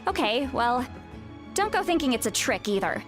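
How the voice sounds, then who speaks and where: Game role: youthful-sounding lady elder, sardonic and haughty, highish pitch, very animated delivery. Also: measured pace, music in the background. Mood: teasing, haughty. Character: teasing, haughty young-looking woman who is secretly an elder. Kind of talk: video game voice acting.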